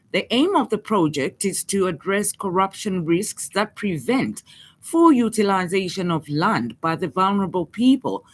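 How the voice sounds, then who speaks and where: A woman with a Zambian accent reading a scripted radio news bulletin as presenter. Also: on the low side.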